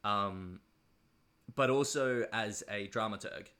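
Male interview guest, low but bright and forward voice, conversational, meandering and hesitant delivery. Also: takes it slowly.

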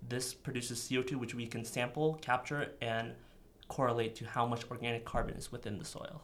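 A young man lays out a technical method step by step in an interview. His voice sits at a middle pitch and stays flat and even. He speaks methodically, with only occasional fillers. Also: brisk, slight background noise. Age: young adult. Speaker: PhD student researcher.

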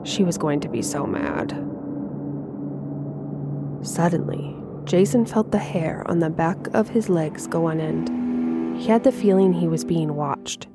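A woman writer reading her own horror story aloud in a measured, suspenseful way. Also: deep voice. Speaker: writer and narrator. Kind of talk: scripted horror story narration. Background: music.

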